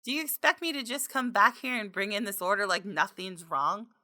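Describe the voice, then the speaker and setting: A woman's voice: medium pitch, a little fast, sharp tone, lightly acted. A woman voicing a nervous, flustered young restaurant server in a visual novel game, hesitant in manner. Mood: exasperated. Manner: stammering, hesitant.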